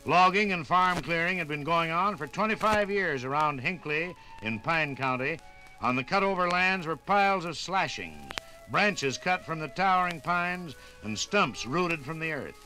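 An American man narrating a 1950s radio documentary in a dramatic, deliberate storytelling style. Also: a little high, expressive, bright, forceful tone, poor audio. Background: dramatic music.

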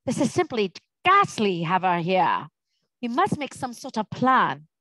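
A woman's voice: mid-range voice, very animated, a little slow, a bit bright, dry recording, low-quality recording. A woman voicing a young boy in a storybook, anxious and easily indignant, with a pleading, exclamatory way of talking. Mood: urgent.

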